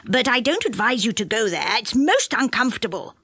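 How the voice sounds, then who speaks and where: Woman voicing a jolly, animated round-faced fairyland fellow. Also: a little high, fairly quick, husky, dry recording, clean studio sound, exaggerated voice. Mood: cautioning. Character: jolly, round-faced magical man, welcoming host.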